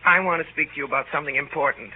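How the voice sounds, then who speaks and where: High-voiced, melodramatic teenage boy. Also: rapid-fire, breathy edge. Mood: wounded, solemn. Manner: pleading, stiffly dignified, overwrought. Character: earnest, melodramatic, indignant seventeen-year-old boy. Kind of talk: 1930s radio drama acting. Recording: tinny, narrow old 1930s radio recording.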